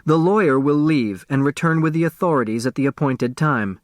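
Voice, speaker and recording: A man's voice: on the high side, fairly quick, dry recording, clean studio sound, lightly acted. A man voicing an insistent, argumentative woman secretary in an audiobook story. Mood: urgent. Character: shrill, insistent woman secretary reading out and arguing.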